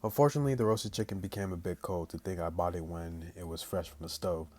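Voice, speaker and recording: Low-voiced young man reading haltingly. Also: fairly even intonation, a little mellow. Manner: halting, stumbling reader. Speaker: gaming video host. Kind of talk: reading visual novel lines aloud with casual commentary.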